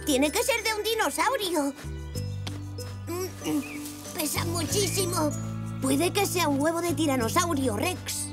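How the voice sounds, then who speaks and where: Young cartoon zebra boy, five, high and lively, eager and curious, over prominent music. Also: unhurried, pauses often. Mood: amazed. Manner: excitable, earnest. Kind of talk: children's cartoon dub. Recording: music behind the voice.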